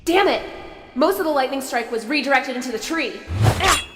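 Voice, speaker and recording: High-pitched, hot-tempered young anime fighter woman. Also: animated, brisk, noisy background. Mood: alarmed. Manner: indignant, scornful, mouthy. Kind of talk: anime fan dub acting.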